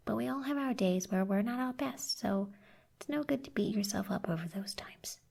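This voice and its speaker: A woman voicing a teasing but caring young cactus girl in an ASMR roleplay, soft and slightly husky, blunt yet encouraging. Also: mid-range voice, moderate pace. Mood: reassuring.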